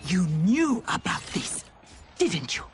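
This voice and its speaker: A woman's voice: fairly low pitch, very animated, slow pace, loud music behind the voice. A woman playing a proud, honorable, disillusioned ninja warrior in a game cutscene, earnest and formal. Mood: shocked. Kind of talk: dramatic video game cutscene acting. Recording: cinematic score under the voice.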